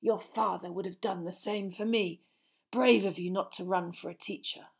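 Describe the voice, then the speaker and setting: A woman plays a gaunt escaped prisoner in a storybook. He speaks in a hushed, croaky voice. Every word is a quiet, menacing taunt. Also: mid-range voice, fairly even intonation, normal speed. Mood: quietly taunting. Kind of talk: dramatised audiobook character voice.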